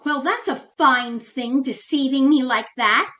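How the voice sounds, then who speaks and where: A woman acting as an excitable, easily indignant housewife in an old-time radio comedy. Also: high-pitched, a little slow, lo-fi sound.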